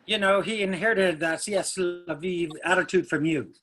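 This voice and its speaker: Man acting a sharp, impatient father. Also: high-pitched, moderate pace. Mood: irritated. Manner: curt, critical. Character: stern, impatient, well-dressed father. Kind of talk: readers theatre dialogue.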